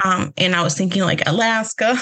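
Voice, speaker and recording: A woman's voice: medium pitch, a little fast, fairly bright tone. A young adult woman from Honduras with a Honduran accent, a podcast guest chatting casually, hesitant and full of fillers, laughing often. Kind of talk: casual interview chat.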